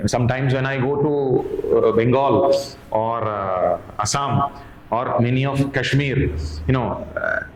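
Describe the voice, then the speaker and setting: Male teacher, Indian accent, mid-pitched voice, didactic lecture delivery with repeated points; roomy echo, slight noise. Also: takes it slowly. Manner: didactic, repeats key points and poses questions to listeners. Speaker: history teacher at an exam coaching academy. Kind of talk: explanatory exam lecture. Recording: echoey room with faint background noise.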